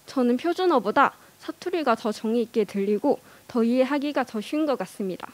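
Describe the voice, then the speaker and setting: A woman's voice: fairly high pitch, lively intonation, brisk. Earnest young woman giving a contest speech. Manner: careful, earnest delivery with occasional hesitations. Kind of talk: prepared speech in a learned language.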